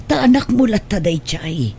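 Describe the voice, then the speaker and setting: An old, caring mother in an Ilocano radio drama. She is husky and swings widely in pitch, admonishing and pleading with an Ilocano accent. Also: sing-song, normal speed, warm tone, heavily acted. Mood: reproachful, pleading. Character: elderly mother, caring and reproachful.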